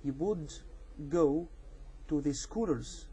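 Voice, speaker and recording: A man with an Egyptian accent, a native Arabic speaker, giving an informal explanatory rebuttal in a halting, repetitive, insistent way. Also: fairly high pitch, warm tone, husky. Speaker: amateur video responder on religion.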